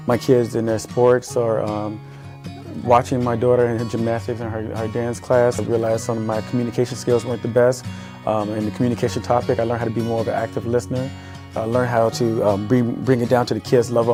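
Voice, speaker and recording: A middle-aged father telling his own story in a testimonial video, earnest and halting with many pauses. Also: medium pitch. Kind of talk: personal testimonial.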